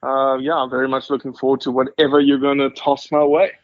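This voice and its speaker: A man's voice: medium pitch, little pitch movement, a little fast, dry recording. South African man, dry muffled podcast sound. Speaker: podcast guest. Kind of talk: casual interview storytelling.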